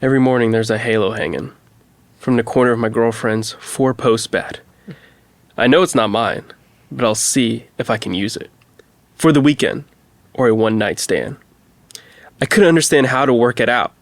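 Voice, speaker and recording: An earnest, theatrical reciter played by a man reads heartbroken pop lyrics dramatically for a comedy podcast. The voice sits at a medium pitch in a dry recording. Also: moderate pace, dry recording, close to an everyday voice. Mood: mock earnest. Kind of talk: mock dramatic reading.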